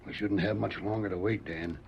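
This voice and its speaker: A cunning, cruel American outlaw in a vintage radio western, conspiratorial and quick, with a deep gravelly voice. The old recording is dull, with some hiss. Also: male voice, steady tone. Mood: sly, conspiratorial. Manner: soft-spoken, conspiratorial, folksy grammar. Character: cunning, cruel jailed outlaw plotting escape. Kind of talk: 1940s radio western acting.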